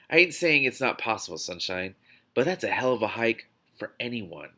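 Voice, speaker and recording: A man's voice: on the low side, vocal fry, pushed and bright, exaggerated voice. A man voicing a blunt, wisecracking male field agent from a novel, speechifying in emphatic slang. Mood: mild, probing.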